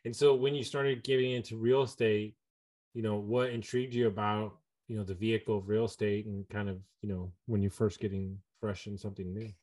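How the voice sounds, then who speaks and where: A man's voice: on the low side, a little fast. Emphatic male podcast host. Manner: energetic, emphatic, says "like" often. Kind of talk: casual interview chat.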